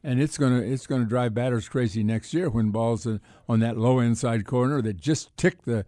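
Halting American man talking sports. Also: mid-range voice, mellow, no echo, studio quality. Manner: halting, repeats words and rephrases often. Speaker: sports talk show host. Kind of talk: casual sports chat.

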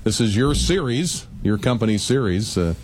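A man's voice: lowish voice, expressive, normal speed, a little mellow. A man hosting a radio interview, introducing guests in a conversational way. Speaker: radio show host.

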